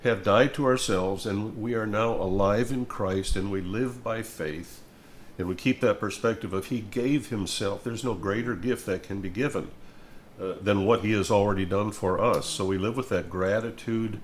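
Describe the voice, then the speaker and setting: An older man with a lowish voice talks through matters of faith in conversation. He is earnest and somewhat preachy, restating phrases to drive them home, with noticeable noise behind him. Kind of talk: reflective devotional conversation.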